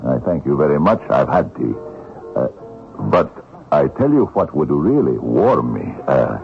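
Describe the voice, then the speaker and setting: Through thin old radio sound comes a brash, penniless Russian vagrant. His deep voice swings widely up and down as he grumbles, wheedles and hems and haws. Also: sing-song, measured pace, vocal fry, faint music behind, heavily acted. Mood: wheedling, boastful. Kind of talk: old-time radio drama acting. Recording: narrow, lo-fi old radio broadcast.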